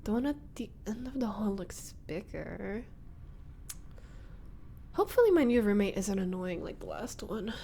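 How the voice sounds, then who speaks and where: A woman's voice: medium pitch, expressive, normal speed, vocal fry, long pauses, dark tone, slightly rough. A woman voicing a flustered young former bully in a scripted roleplay audio, hesitant and wry. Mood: wry, musing. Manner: hesitant, sighing, nervous laughs, wry muttering.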